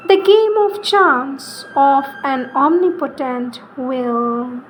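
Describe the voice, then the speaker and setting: A woman reads spiritual poetry in a solemn, devotional style, deliberately, pausing between short phrases. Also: high-pitched, slight background noise, low-quality recording. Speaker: reader.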